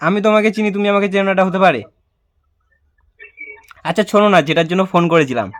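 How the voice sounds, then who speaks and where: Fast-talking young man, teasing prank caller. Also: high voice. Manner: playful, persistent, pleading. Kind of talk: casual teasing phone chat.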